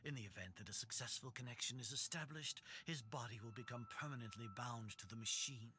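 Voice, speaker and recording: An actor playing a sinister, condescending male scientist in a game cutscene, with slow, menacing politeness. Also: medium pitch, background noise, natural voice. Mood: clinical. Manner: smooth, drawn-out, menacing politeness. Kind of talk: video game cutscene voice acting.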